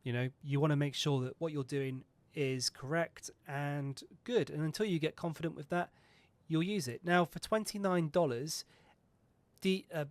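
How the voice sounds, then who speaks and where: A man's voice: on the high side, moderate pace, dry recording. A man hosting a flight simulator video, chatting casually and rambling through a pre-flight checklist, swearing now and then and poking fun at himself. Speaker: flight sim video host. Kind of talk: casual talk over a flight sim checklist.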